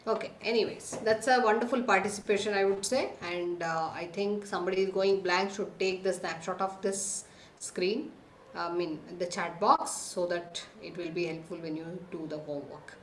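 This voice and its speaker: A woman's voice: on the low side, fairly even intonation, normal speed, reverberant, slight background noise. Woman leading an online parenting class. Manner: reads lists of answers aloud, checks in with the class often. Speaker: online parenting workshop host. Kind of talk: live online class, reading chat answers aloud. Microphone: computer mic on a video call.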